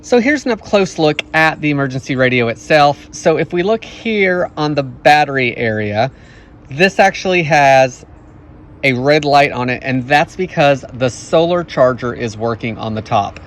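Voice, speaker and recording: A man's voice: fairly high pitch, lively intonation, normal speed, fairly bright tone, slight background noise. A man giving a casual product review, explaining features plainly, step by step.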